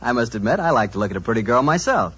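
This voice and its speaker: An American male radio announcer pitches with very lively intonation and a husky edge. His delivery is scripted in the 1940s broadcast manner. The old recording sounds muffled and dull. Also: rapid-fire.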